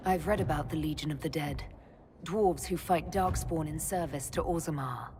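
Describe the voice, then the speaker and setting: Woman playing a composed, low-toned fantasy commander. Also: moderate pace, noisy background, lightly acted. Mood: composed, inquiring. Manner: composed, direct, questioning. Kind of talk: video game cutscene acting.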